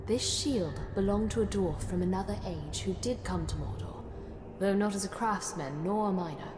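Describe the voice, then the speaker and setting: A woman playing a solemn, thoughtful chronicler of a besieged fantasy city in a video game, narrating in a measured, grave and reflective way. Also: mid-range voice, natural voice. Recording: echoey hall reverb under music.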